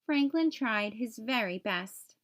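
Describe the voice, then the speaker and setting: High-pitched woman reading a children's storybook. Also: animated, takes it slowly, fairly bright tone. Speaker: read-aloud host for young children. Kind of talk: children's storybook read-aloud narration.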